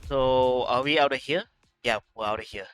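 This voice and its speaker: Bright-voiced man playing a game. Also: medium pitch, moderate pace, soft background music. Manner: casual, reads dialogue and narration aloud. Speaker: gaming streamer. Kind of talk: game playthrough commentary, reading text aloud.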